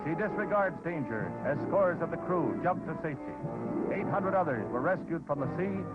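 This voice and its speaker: A man's voice: fairly high pitch, expressive, moderate pace. A man with an American accent delivers dramatic scripted newsreel narration in a solemn, declamatory way. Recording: tinny vintage newsreel soundtrack, loud music.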